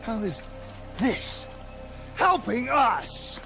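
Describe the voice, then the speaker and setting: A loyal soldier hero from a video game, full of anguish and resolve. His high voice moves widely in pitch over prominent cinematic music. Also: big pitch swings, extremely slow, vocal fry, bright, forceful tone, full character voice. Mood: accusing. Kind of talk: dramatic video game cutscene acting.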